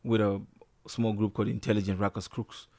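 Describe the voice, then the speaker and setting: Laid-back low-voiced man with street slang. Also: level tone, fairly quick, dark tone. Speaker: guest musician. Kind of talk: casual interview storytelling.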